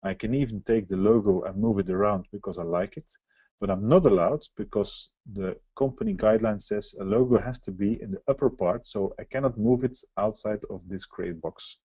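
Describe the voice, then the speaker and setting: Man for a webinar software walkthrough; explanatory, mellow tone, dry close sound. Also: on the low side, fairly quick, slightly rough, dry recording, muffled recording. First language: Dutch. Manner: explanatory, walks through on-screen steps. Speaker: software presenter. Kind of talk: live software demo webinar.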